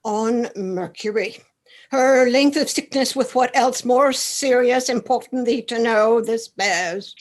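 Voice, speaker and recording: A woman acting a measured attendant in a Shakespearean radio play, speaking deliberately and expressively. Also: a little high. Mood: solemn. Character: measured soothsayers, messengers and loyal male soldier.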